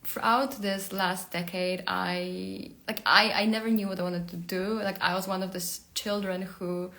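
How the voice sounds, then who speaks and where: A woman talks through a casual livestream into her phone. Her mid-pitched voice has a gravelly fry at the ends of phrases. She rambles, full of fillers and restarts. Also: flat delivery, a little slow, pushed and bright. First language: Polish. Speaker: career coach hosting a live stream. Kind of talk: casual live-stream monologue.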